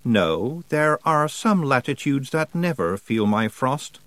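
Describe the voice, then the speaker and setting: A man voicing a mad male spirit of winter, talking slowly and dreamily with a chilling calm. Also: mid-range voice, no echo, pitched above the performer's own voice. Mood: dreamy. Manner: slow, dreamy, cheerfully chilling.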